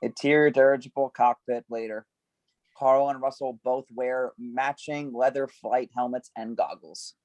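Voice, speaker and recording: A man's voice: medium pitch, little pitch movement, unhurried, a little mellow, no echo, low-quality recording. A man reading stage directions at a script table read, giving scene headings some emphasis.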